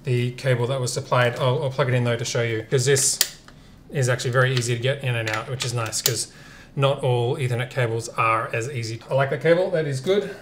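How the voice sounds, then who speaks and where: A man's voice: mid-range voice, a little hiss or hum. A man in his thirties with an Australian accent, talking casually through a tech review as a vlogger. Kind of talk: casual unboxing and review narration.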